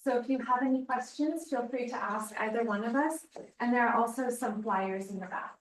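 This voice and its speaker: A woman's voice: a little high, fairly quick, slight background noise. A woman social worker and care manager announcing a program, hesitant with frequent ums. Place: seminar room. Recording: roomy, from far off the mic.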